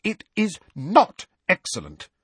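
A man voicing a bossy, righteous teenage schoolgirl who scolds forcefully in an audiobook. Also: a little high, sing-song, moderate pace, husky, dry recording, voice pushed up. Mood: stern, furious.

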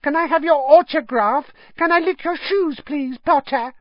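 A man reading an audiobook puts on a high, breathless, gushing voice of an eager starstruck young boy. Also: moderate pace, no echo, muffled recording.

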